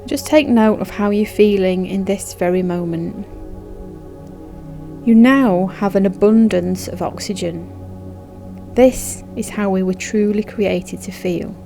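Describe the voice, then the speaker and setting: A woman narrating a guided meditation in a soothing, measured, instructive manner. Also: medium pitch, vocal fry, warm tone. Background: background music.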